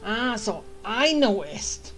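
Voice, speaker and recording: A woman's voice: fairly high pitch, sing-song, slow. A woman game streamer giving casual, playful commentary and reacting aloud as she plays. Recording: game music underneath.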